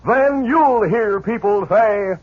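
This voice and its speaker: A man's voice: sits high, slow. A man announcing in scripted 1950s radio commercial style. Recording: muffled old radio broadcast.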